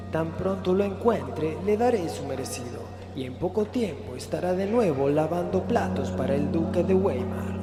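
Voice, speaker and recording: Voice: mid-range voice, close to an everyday voice. A performer voicing a grumbling, irritable male composer in a theatrical comedic audio drama. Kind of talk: amateur comedic audio drama monologue and dialogue. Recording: voice over music bed.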